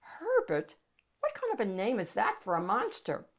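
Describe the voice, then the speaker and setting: A woman's voice: mid-range voice, huge pitch swings, moderate pace. Woman as a picky, doubtful storybook child. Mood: earnest, doubtful. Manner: earnest, questioning. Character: anxious, picky child narrator wanting a scary monster.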